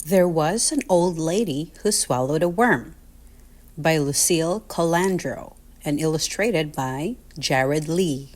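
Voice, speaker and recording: A woman reading a children's picture book aloud in an expressive, deliberate, sing-song storytelling manner. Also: sits low.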